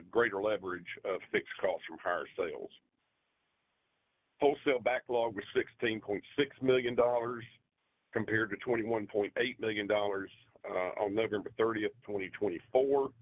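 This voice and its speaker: A man's voice: fairly low pitch, no echo. A man giving a scripted financial report on an earnings call as a company finance executive, in a formal, businesslike way. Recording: dull, dry conference call audio.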